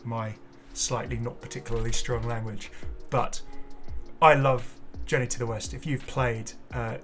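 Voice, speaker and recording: A man hosting a casual game showcase, talking in an enthusiastic, rambling way with halting explanations. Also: mid-range voice, steady tone, a bit bright. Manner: enthusiastic, effusive. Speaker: gaming video creator and host. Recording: music playing behind the voice. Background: clear background music.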